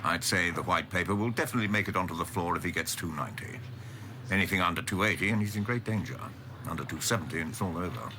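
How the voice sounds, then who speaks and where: An actor playing a dry, grave senior civil servant speaking in clipped, precise radio drama dialogue. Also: male voice, low voice, quick pace, pushed and bright, slight background noise. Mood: measured warning.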